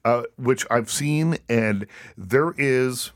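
A man's voice: medium pitch, extremely slow, no echo, clean studio sound. A man hosting an interview, chatty and easygoing, often restarting his sentences and laughing easily. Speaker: interview host. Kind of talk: casual interview.

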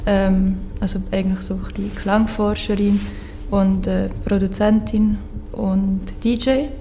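A young woman in her twenties speaks in an even, flat tone with a mellow sound. She sounds hesitant, with pauses and ums, over faint background noise. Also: medium pitch. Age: 20s. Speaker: guest, psychology student. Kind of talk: casual panel introduction.

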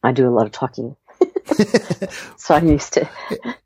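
A woman's voice: a bass voice, a little slow, husky, no room sound, poor audio. Chatty woman with a Canadian-Australian accent. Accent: Canadian with Australian tinge. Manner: chatty, lively storytelling with fillers. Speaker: interview guest. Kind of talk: casual conversational interview.

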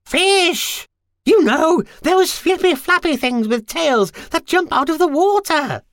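A vain storybook witch shrieks and squeals her orders, a man putting her on. The voice sits very high and leaps about wildly. The sound is dry and close. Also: expressive, slow pace, fairly bright tone, dry recording, heavily acted. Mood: bossy. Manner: shrill, bossy, dramatic squealing. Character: vain shrieking female witch, fussy and haughty. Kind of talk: storybook character voice.

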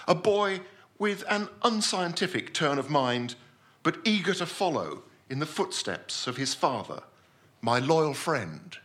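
A man's voice: fairly high pitch, very animated, unhurried, fairly bright tone. A man performing a composed, pompous scientist in a formal, theatrical short-story reading. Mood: formal, regretful. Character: pompous, composed male scientist narrating a sea tragedy.

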